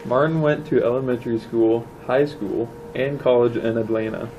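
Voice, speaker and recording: A man reading a children's book aloud in a plain, measured manner. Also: mid-range voice, steady tone, lo-fi sound. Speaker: storybook reader. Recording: faint background noise.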